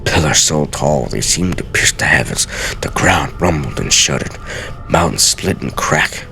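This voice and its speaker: A man with a gravelly voice doing a theatrical, deliberate dramatic reading as a let's play host, sometimes stumbling over words. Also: a bass voice, sharp tone, a little hiss or hum. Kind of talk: dramatic reading of video game journal pages. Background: music.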